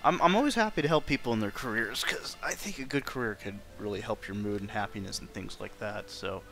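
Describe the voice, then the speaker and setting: Bright-voiced man chatting on a stream. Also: fairly low pitch. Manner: loose, halting, slangy. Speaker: game streamer. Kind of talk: casual chat. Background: faint music.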